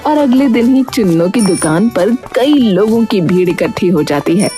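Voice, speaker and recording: A woman's voice: mid-range voice, animated, moderate pace, warm tone, soft background music. A woman narrator telling a scripted children's-style cartoon story.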